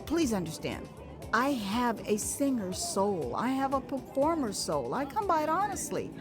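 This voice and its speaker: Female American storyteller for first-person audio memoir, higher register, theatrical and expressive, clearly scored with music. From Texas, United States. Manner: expressive, theatrical delivery with sighs and pauses. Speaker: author narrating her own memoir. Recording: music behind the voice.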